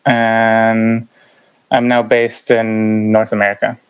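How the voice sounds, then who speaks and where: A man who grew up in Israel, a writer answering interview questions in a measured, thoughtful way with hesitant pauses. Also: lowish voice, completely flat delivery, clean tone, dull audio. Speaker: guest, writer and YouTuber.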